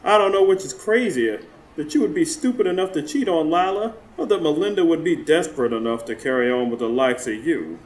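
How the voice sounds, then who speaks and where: A man's voice: on the high side, lively intonation, brisk, slight background noise, natural voice, rough recording. A man acting as a jovial, teasing, worn-out friend in a radio drama. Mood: amused, teasing.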